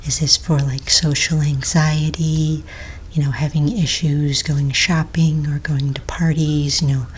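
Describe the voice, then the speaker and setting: A woman playing a patient, polite office manager in a soft-spoken ASMR roleplay, breathy and half-whispered, asking gentle official questions with small hesitations. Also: low-pitched, level tone, measured pace, rough recording. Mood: gentle, explanatory. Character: patient, polite female office manager registering fantasy pets. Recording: close mic, faint noise, keyboard clicks. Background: computer keyboard typing and clicks.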